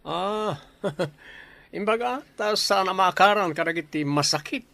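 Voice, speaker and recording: A man playing a forward, flattering produce trader in a radio drama, with a Filipino accent. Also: high voice, unhurried, vocal fry, no room sound, heavily acted.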